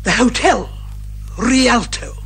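An actress playing a brisk, commanding older British lady detective in a theatrical radio detective comedy. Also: fairly high pitch, soft background music. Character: bossy, eager older lady amateur detective, a retired major.

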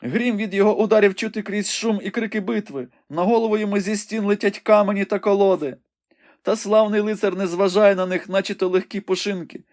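A man putting on a fervent young woman, high and strained, crying out the fight below. She sounds breathless and exclaiming, each line rising in alarm or awe. The voice sits far above a man's usual register. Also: fairly even intonation, a little fast, a little mellow, natural voice. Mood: urgent, fervent. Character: young woman watching a battle from a window, fervent. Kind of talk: audiobook reading.

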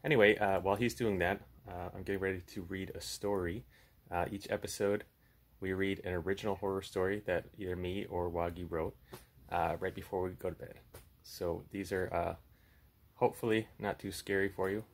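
A man's voice: deep voice, fairly even intonation, moderate pace. A man in his thirties hosting a puppet show, chatting casually and reading a horror story aloud.

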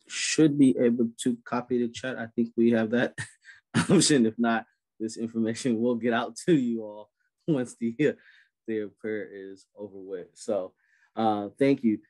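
A man's voice: fairly low pitch, darkish tone. A man moderating an online prayer event, talking loosely with many fillers. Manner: loose, chatty, many fillers and light laughs. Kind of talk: casual hosting on a video call. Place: home, indoors, video call. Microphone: webcam on a video call.

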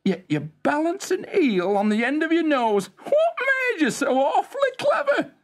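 A man voicing a cheeky, pestering young man in comic storybook verse, with exaggerated, drawn-out delivery. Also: very high voice, wildly swooping pitch, exaggerated voice. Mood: incredulous, mocking.

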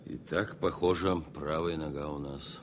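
An actor playing a calm, authoritative airliner captain with dry humour and a measured, commanding manner in a radio play. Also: male voice, a bass voice. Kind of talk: 1970s Soviet radio play acting. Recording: noisy vintage radio-play recording, aircraft hum.

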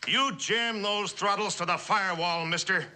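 An actor playing a stern, commanding military officer, brusque and barking orders, in dramatic radio style. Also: male voice, sits high, normal speed, very noisy, close to an everyday voice. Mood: urgent, commanding. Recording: old radio drama with loud music.

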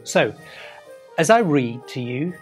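Animated middle-aged man reading to children. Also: a little high, faint music behind. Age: 50s. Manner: animated, dramatic, deliberate, addressing young listeners. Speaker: children's author reading aloud. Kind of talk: expressive children's storytelling.

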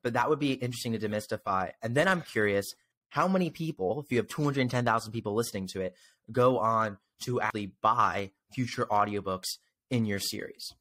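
A man's voice: lowish voice, fairly quick, pushed and bright. A young man interviewing a guest casually as a podcast host, speaking close to a desk microphone on a video call from home. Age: young adult.

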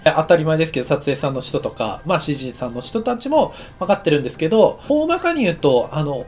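A middle-aged man, an anime director, explaining a technical topic in a relaxed, chatty lecture full of fillers. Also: high-pitched. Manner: relaxed and chatty, many fillers, lively rising and falling delivery. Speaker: anime director teaching a course. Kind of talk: explanatory screen-share lecture.

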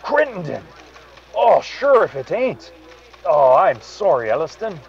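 Man playing an excitable Irish sidekick, lo-fi. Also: high-pitched, a little slow, exaggerated voice. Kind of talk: radio mystery play acting. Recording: dull lo-fi video-call sound.

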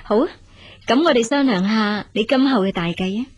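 A firm, practical big sister in a radio drama. Her voice sits fairly high, and she speaks frankly in brisk, reassuring, matter-of-fact Cantonese. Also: slightly rough, muffled recording. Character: practical, dutiful elder sister, married emigrant, firm and frank.

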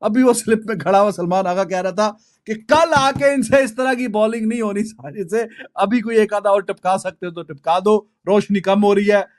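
A man with a Pakistani accent and a high-pitched voice talks cricket casually to the camera. His delivery is conversational and colloquial, with English phrases mixed in. He speaks close to a desk microphone in a small studio. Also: moderate pace. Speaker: cricket commentator. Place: small indoor home studio. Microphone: desk microphone close in front.

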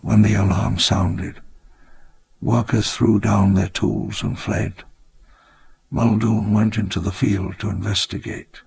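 Aging park visionary, game voice-over: very slow, gravelly, solemn and reflective. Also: male voice, lowish voice, level tone, darkish tone, heavily acted. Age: older. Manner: measured, reflective, grandly solemn. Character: elderly grandiose dinosaur-park founder, wistful. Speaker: narrator of his own memoir. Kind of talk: scripted memoir narration for a game.

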